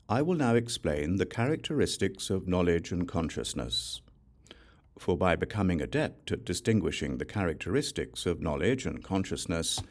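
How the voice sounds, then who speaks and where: Deep-voiced man reading with sweeping intonation. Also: darkish tone. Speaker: audiobook narrator. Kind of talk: solemn scripted narration of a Buddhist sutra.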